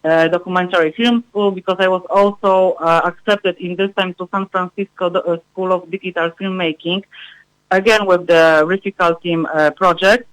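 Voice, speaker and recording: Female interview guest, halting and searching for words, narrow pitch movement, dry recording. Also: fairly even intonation, no echo. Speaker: guest music researcher and filmmaker. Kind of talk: live radio interview chat.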